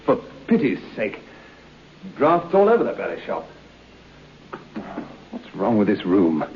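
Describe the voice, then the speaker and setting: Skeptical man alone in a haunted room, muttering, puzzled; low-pitched, dull and noisy radio drama recording. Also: huge pitch swings, unhurried. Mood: puzzled, irritated. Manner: muttering to himself. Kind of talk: radio play acting.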